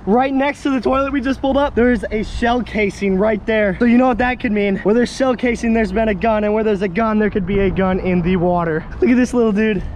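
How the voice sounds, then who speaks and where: Excitable young man vlogging outdoors. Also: high voice, fairly quick, few pauses, a little hiss or hum. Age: young adult. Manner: excitable, laughing, exclaims at finds. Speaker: magnet fishing vlogger. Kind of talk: excited outdoor vlog chat. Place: outdoors by a pond at an abandoned building, then inside it.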